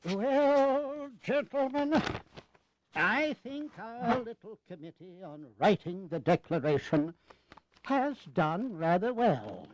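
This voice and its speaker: A man's voice: high voice, sing-song, slow, background noise, full character voice. A man playing a witty, very old statesman in a historical audio drama, genial and avuncular with formal phrasing. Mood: jovial, wry.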